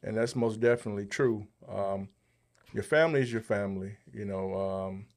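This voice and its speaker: A man's voice: on the low side, steady tone, moderate pace. A man hosting a podcast, talking conversationally and giving advice. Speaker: podcast host.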